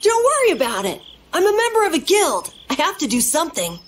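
Very high-pitched earnest young boy. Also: wildly swooping pitch, fairly quick, slight background noise, heavily acted. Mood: earnest, reflective. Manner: earnest, boyish, candid. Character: earnest young boy guild member, eager to prove himself. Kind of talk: video game cutscene acting.

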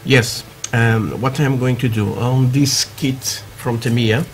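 A man from Portugal with a Portuguese accent, chatting haltingly through a hobby tutorial with many fillers, while building at a workbench. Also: medium pitch, fairly even intonation, faint music behind. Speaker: scale model builder. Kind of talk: casual hobby tutorial talk. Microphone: camera over work desk, hands only.

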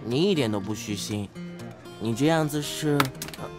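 An actor playing an earnest, oblivious teenage class monitor who talks in a preachy, naive way in an audio drama. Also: male voice, medium pitch, animated, moderate pace, music in the background, natural voice. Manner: preachy, matter-of-fact, naive. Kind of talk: modern audio drama acting.

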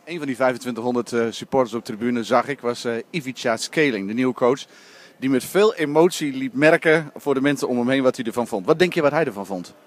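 A man's voice: medium pitch, brisk. A man interviewing a coach and a player after a basketball game, asking casual questions. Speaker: sports interviewer.

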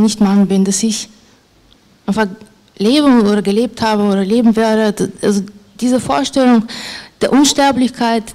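A woman's voice: a little high, a little mellow. Hesitant woman author on a stage mic. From former Soviet Union. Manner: hesitant, with many fillers and restarts. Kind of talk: stage interview and reading aloud. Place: literary event on a stage, indoors. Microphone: handheld mic.

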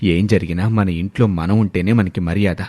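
A man acts out an older father in a family tale. He sounds weary and proud, grumbling with resignation in a lowered pitch. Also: fairly even intonation, moderate pace, mellow, dry recording. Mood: resigned, grumbling. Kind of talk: dramatised family moral story.

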